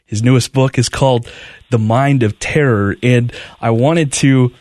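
A man's voice: medium pitch, steady tone, unhurried, a little mellow, dry recording. A man hosting a radio interview, conversational, guiding the guest with short questions and affirmations. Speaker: radio host.

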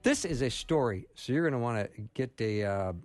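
A man's voice: medium pitch, lively intonation, a little fast, darkish tone, soft background music. A man hosting a radio interview show in an enthusiastic, friendly manner.

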